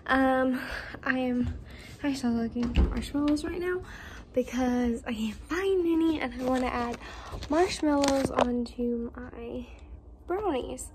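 Young woman vlogger, very high, unhurried, rambling kitchen chat on a close phone mic. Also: noisy background. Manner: rambling, soft and mumbled, with many ums. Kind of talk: casual vlog chat. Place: home kitchen, indoors. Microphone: phone held close.

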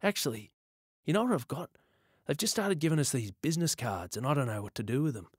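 A man's voice: mid-range voice, expressive, fast, slightly rough, no room sound, clean studio sound, voice pushed up. A man voicing a burly country policeman in his thirties with a rural Australian accent, blunt but matey, in a storybook reading. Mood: friendly, matey. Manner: official, blunt, then matey.

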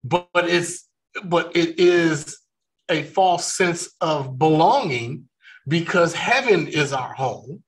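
Slow, earnest man preaching. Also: fairly high pitch, lively intonation. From California. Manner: slow, earnest, with frequent restarts. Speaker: ministry discussion host. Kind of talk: unscripted faith discussion.

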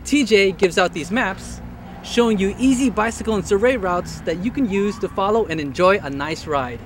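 A man's voice: high voice, lively intonation, moderate pace, slight background noise. A young man co-hosting a TV segment outdoors, delivering upbeat scripted lines. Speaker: TV host. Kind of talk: scripted presenting. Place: outdoors at a bike rental lot.

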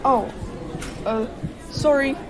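High-voiced, earnest teenage boy acted by a woman. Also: slow, a crowd in the background, poor audio. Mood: concerned. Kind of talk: amateur radio play acting.

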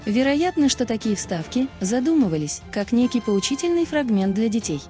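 Female narrator, scripted explainer read; soft-edged tone, very varied intonation, music bed. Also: on the high side, big pitch swings, normal speed. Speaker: video narrator. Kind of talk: scripted documentary-style narration. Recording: music behind the voice. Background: background music.

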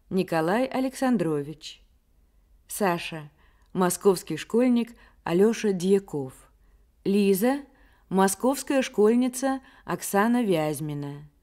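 A woman's voice: medium pitch, darkish tone. A woman radio announcer reading a formal scripted announcement.

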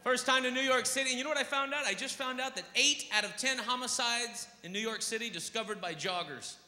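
A man performing a brash, emphatic stand-up comedy routine on a theater stage into a handheld microphone, swearing and often rising to a shout. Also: very high-pitched, quick pace. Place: large theater stage before an audience. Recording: echoey hall with noisy crowd. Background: audience noise and laughter.

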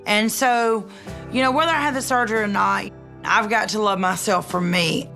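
A woman's voice: mid-range voice, normal speed, gravelly, sharp tone. A woman, talking casually and bluntly about weight loss in a reality show. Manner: chatty, blunt, filler-heavy. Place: salon and living room interviews. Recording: background music under voice.

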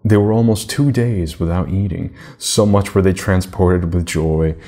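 A middle-aged man narrating a children's fairy tale in a measured, deliberate manner. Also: low voice, mellow. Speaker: storybook reader.

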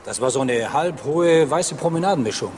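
An actor playing a plain-spoken, insistent bus driver in a German crime radio drama, talking colloquially. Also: male voice, on the high side, lively intonation, moderate pace, darkish tone, slight background noise, natural voice.

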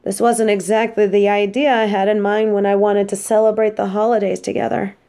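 A woman's voice: medium pitch, level tone, fairly quick, warm tone, natural voice. A woman playing an affectionate, teasing supervillain girlfriend in an intimate roleplay audio drama, speaking tenderly and playfully. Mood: sincere, apologetic.

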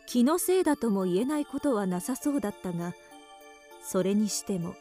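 A woman's voice: mid-range voice, expressive, fairly quick, dark tone, faint music behind, natural voice. A woman narrating a radio drama from a script.

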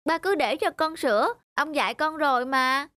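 A woman voicing an eager, polite young boy in an animated moral story, earnest and pleading in manner. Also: high voice, normal speed, no room sound, studio quality. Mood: pleading, confident.

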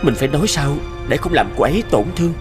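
A man playing a hesitant, remorseful husband and tender father in an animated drama. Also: on the high side, fast talker, close to an everyday voice. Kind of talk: animated cartoon drama acting. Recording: loud music behind the voice. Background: music.

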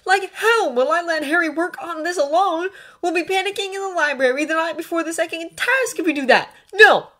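A high, very animated teenage boy wizard acted by a woman for an audiobook. He is sarcastic and stubbornly loyal, with a defiant, teasing edge and a quick temper. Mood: exasperated.

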